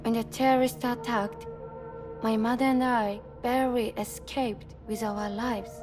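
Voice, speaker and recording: A woman acts out a heartsick young woman in a video game. She speaks very slowly and earnestly, with halting, pleading phrases, over clear music. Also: a little high, fairly even intonation, natural voice. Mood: sorrowful, confiding. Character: heartsick, pleading young woman longing for her lost love. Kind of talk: video game cutscene acting.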